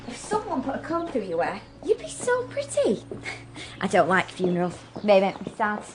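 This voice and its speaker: A woman's voice: medium pitch, huge pitch swings, normal speed, music in the background. A woman playing a childish, over-friendly young bride in a radio period drama, girlish and effusive. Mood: gushing.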